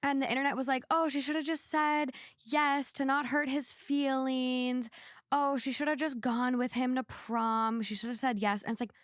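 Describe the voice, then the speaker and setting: A woman's voice: sits high, level tone, normal speed, dry recording, studio quality. A woman hosting a podcast, chatting casually and giving her opinions in an emphatic, outspoken way. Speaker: podcast host.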